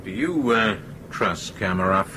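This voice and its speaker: A man playing a shrewd, suspicious businessman in a radio drama, probing in a measured, hesitant way. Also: low voice, noisy background. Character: shrewd, suspicious businessman who knows military electronics. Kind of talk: broadcast radio drama acting.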